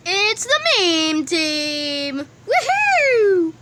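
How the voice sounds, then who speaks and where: Woman as squeaky excitable cartoon talking object. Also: extremely slow, exaggerated voice. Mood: excited. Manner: exclamatory, theatrical. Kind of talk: amateur comic dub cartoon acting. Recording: hissy home recording.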